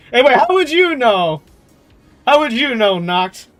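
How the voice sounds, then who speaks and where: A man livestreaming a game and chatting casually with viewers in a jokey, animated storytelling manner. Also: very high voice, takes it slowly. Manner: animated storytelling, jokey, swearing, laughs often. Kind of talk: casual chat with viewers while gaming. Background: game music.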